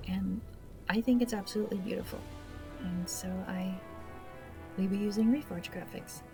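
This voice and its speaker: A woman's voice: mid-range voice, moderate pace, over music. A woman hosting a gaming video with casual, awkward, self-deprecating commentary, speaking hesitantly. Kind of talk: casual let's play commentary.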